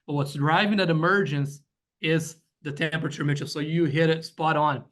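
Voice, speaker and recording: A man presents slides in a webinar as a guest weed scientist. His voice sits a little higher than most men's. He explains patiently and keeps checking in with "right?" as he goes. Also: fairly even intonation, normal speed, a bit bright. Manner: explanatory, frequent "you know" and "right?" checks.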